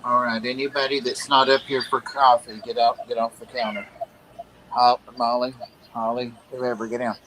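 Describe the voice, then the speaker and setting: A man's voice: medium pitch, flat delivery, normal speed, fairly bright tone, slight background noise, poor audio. A man making teasing offhand remarks from across the room, well away from the mic. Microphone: far from the mic.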